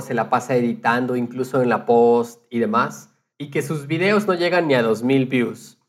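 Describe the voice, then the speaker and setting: A man with a medium-pitched voice talks casually on a podcast. He speaks in an anecdotal way, retelling what others said and sprinkling in English loanwords. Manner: anecdotal, retells conversations in quotes.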